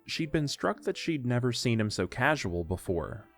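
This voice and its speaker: A man's voice: medium pitch, very animated, normal speed, a bit bright, soft background music. A man reading scripted narration for an audio drama, telling a story in the third person.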